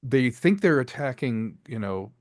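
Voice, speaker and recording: A man's voice: mid-range voice, big pitch swings, a little slow, no room sound. Enthusiastic man, dry close mic. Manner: enthusiastic, explains with many restarts and voiced asides. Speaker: director and sound designer. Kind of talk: casual interview chat.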